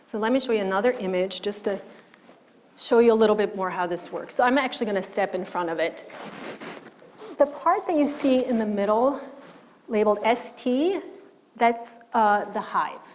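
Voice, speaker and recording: A middle-aged woman who grew up in Switzerland with German as her first language, giving a measured public lecture on the history of science with slides and some fillers. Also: medium pitch, slight background noise. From German-speaking Switzerland. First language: Swiss German. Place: lecture hall.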